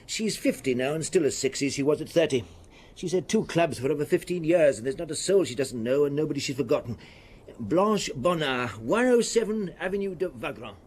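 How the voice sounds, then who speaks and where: An actor playing a frank, gossipy veteran police officer with a husky voice in a radio drama. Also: male voice, fairly high pitch, lively intonation, a little fast, darkish tone. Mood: wry, knowing.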